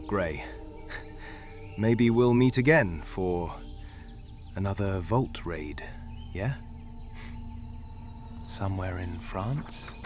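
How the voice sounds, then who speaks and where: A man playing a roguish, theatrical treasure hunter in a fantasy audio roleplay, cheeky and dramatic in his delivery. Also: sits low. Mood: sly, flirtatious. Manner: cheeky, dramatic, emotionally swinging.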